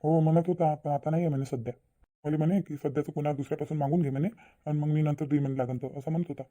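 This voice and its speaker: A man's voice: on the high side, steady tone, rapid-fire, phone-quality sound, close to an everyday voice. A man voicing a troubled, insistent middle-aged village father in a Marathi cartoon, earnest and persuading, with a Vidarbha Marathi accent.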